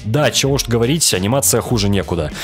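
A man's voice: mid-range voice, expressive, fast. A young man from Russia delivering a scripted, wry review as a voice-over. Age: young adult. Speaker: video reviewer. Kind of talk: scripted cartoon review narration. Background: background music.

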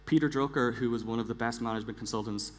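A man in his forties giving a prepared conference talk on stage in an emphatic, deliberate manner, repeating words for weight. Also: medium pitch, fairly even intonation. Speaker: conference speaker, engineering director. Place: large theatre stage, indoors. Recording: echoey large hall, clear background hum.

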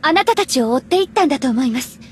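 A woman's voice: high-pitched, lively intonation, rapid-fire, a little hiss or hum. A woman voicing a courteous young warrior in an anime game, formal, composed and caring. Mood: earnest, explanatory. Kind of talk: Japanese anime game voice acting.

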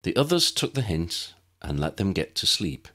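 A man's voice: deep voice, big pitch swings, normal speed, bright, forceful tone. A man narrating a scripted audiobook story.

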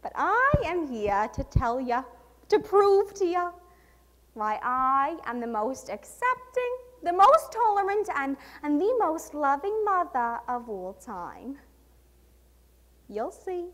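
A middle-aged suburban mother in a theatrical stage monologue. Her voice is high and leaps around dramatically. She is nervous, effusive and very pleased with herself. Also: sing-song, slow, a bit bright, exaggerated voice. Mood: proud, joking. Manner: theatrical, effusive, self-congratulating, stammering. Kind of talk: dramatic monologue in a speech competition.

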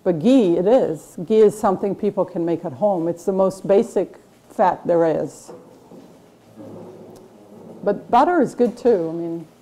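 A woman's voice: on the low side, unhurried, dark tone. A middle-aged woman chef giving a casual cooking demonstration in a large kitchen classroom, chatty and explanatory with lots of fillers.

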